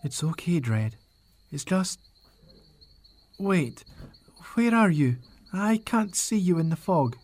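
A man's voice: high voice, sing-song, slow, pauses often, a little mellow, full character voice. An actor playing an earnest young nobleman, speaking somewhat formally in an audio drama. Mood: uneasy. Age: young adult. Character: earnest, worried young nobleman riding out alone.

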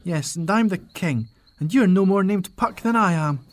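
A man's voice: high voice, expressive, normal speed, noisy background. An actor playing an earnest young nobleman, speaking somewhat formally in an audio drama. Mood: defiant. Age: young adult. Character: earnest, worried young nobleman riding out alone.

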